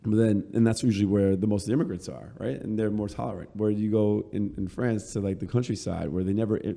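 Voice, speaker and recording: Very deep gravelly man hosting. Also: steady tone, quick pace, mellow. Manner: asks questions, backchannels "gotcha" and "right". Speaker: podcast host. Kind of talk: interview chat.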